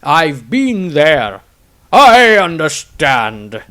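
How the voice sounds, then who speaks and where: A man performs a solemn old male wizard from a fantasy storybook. He speaks very slowly in a raised, projecting voice. The delivery is grave and deliberate, that of a kindly mentor counselling a student. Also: fairly high pitch, big pitch swings, fairly bright tone, pitched above the performer's own voice, full character voice. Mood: solemn, tender.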